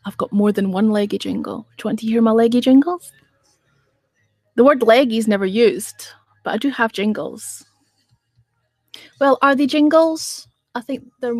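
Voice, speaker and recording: A woman's voice: mid-range voice, darkish tone, no room sound. A middle-aged woman hosting a casual livestream, rambling and chatting in reply to viewer comments. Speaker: radio show host on a livestream.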